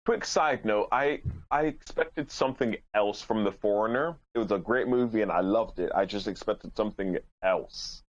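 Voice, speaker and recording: A man's voice: on the low side, normal speed, hardly any pauses. A man speaking casually as a panelist in a podcast group discussion, on a lo-fi recording.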